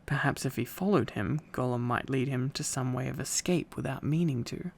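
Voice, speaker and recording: A man narrating a storybook in a relaxed bedtime reading style. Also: mid-range voice, moderate pace, vocal fry.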